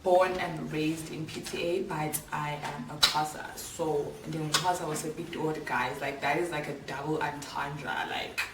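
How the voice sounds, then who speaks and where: A young South African woman in her mid-twenties chats candidly to camera with a low voice, dropping slang and fillers as she goes. She sits a little off from the mic in an echoey room. Clear background noise runs under her voice. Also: fairly even intonation, measured pace, few pauses, distant mic. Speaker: vlogger. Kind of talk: casual Q&A chat to camera.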